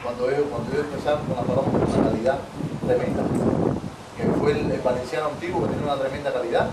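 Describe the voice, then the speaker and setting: A man's voice: medium pitch, steady tone, fast talker. A man in his thirties with a Cuban accent, chatting in an interview on an outdoor patio, repeating and restarting his phrases emphatically. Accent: Cuban Spanish. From Cuba. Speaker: pigeon breeder guest. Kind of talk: casual interview. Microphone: far camera. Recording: echoey, distant camera audio, noisy.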